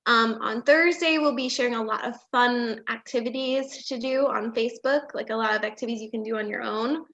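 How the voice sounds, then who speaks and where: A woman's voice: fairly high pitch, fairly quick, a bit bright. A woman with an American accent casually hosting an event and interviewing a guest. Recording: dull, lo-fi online audio.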